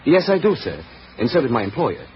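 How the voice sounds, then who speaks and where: An actor playing a polite, uneasy young private secretary in a 1940s radio drama. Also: male voice, medium pitch, huge pitch swings. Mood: uneasy, earnest. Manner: formal, guarded, quick. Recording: muffled, noisy old radio recording.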